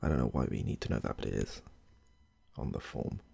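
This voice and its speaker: A man acts out an affable clinician in an ASMR roleplay, very breathy and husky with heavy vocal fry. He is soft-spoken and rambles hesitantly through his questions, reassuring as he goes. His delivery swings lively up and down. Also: rumbling low, brisk, heavily acted. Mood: gently upbeat. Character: chatty, affable male medical intake clinician with a questionnaire.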